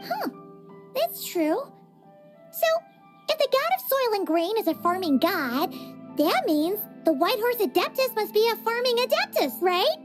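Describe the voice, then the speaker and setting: Casting note: a tiny floating game sidekick, very high, squeaky, and sing-song, cheeky and excitable, with loud music underneath. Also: female voice, unhurried, exaggerated voice. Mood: teasing. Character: tiny chirpy floating female companion creature. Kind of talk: video game voice acting. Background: game soundtrack music.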